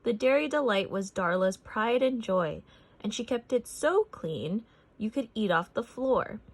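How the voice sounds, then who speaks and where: A woman narrating a picture book as a read-aloud for children, expressive and deliberate in her phrasing. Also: on the high side.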